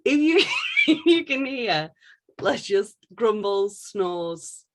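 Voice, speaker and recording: A woman's voice: medium pitch, sing-song, slow. A woman co-hosting a podcast in a casual, joking and irreverent way, reading out questions. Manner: playful, irreverent. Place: bedroom.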